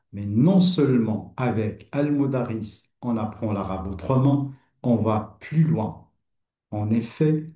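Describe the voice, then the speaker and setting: Middle-aged male teacher, persuasive promo read, mellow tone, echoey room. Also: mid-range voice. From Kabylie, Algeria. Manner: addresses the listener informally, calm and persuasive. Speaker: Arabic teacher and platform founder. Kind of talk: scripted promotional presentation.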